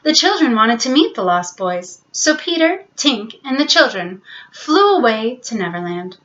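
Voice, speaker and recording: A woman storyteller giving an expressive, scripted narration of a children's bedtime story. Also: a little high, unhurried, dull audio.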